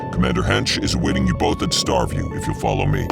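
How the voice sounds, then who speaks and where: A man's voice: sits low, big pitch swings, fast, vocal fry, over loud music, full character voice. A man playing a stiff, formal space station officer in an audio drama, reciting a ceremonious welcome.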